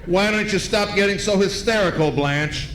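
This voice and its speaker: An actor playing an exasperated, sleepless husband in an old-time radio comedy sketch, grumbling with sarcastic retorts. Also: male voice, high voice, moderate pace, a bit bright, raised voice, faint music behind. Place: live stage in a hotel ballroom. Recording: noisy live event recording.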